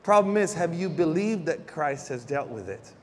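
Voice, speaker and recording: A man preaching, his voice sitting notably high. He talks to the congregation in a loose, chatty way, full of everyday stories and stumbling restarts. The sound carries a long reverb, as in a large church hall. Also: animated, normal speed. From Philippines. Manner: conversational preaching with homely stories and frequent restarts. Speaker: pastor. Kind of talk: sermon.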